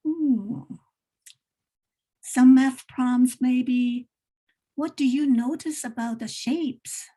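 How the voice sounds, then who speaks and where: A woman leads a workshop for educators in a high, mellow voice. She takes her time, demonstrating read-aloud questions with gentle prompting. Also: drawn-out words, husky, rough recording. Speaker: teacher trainer presenting a workshop.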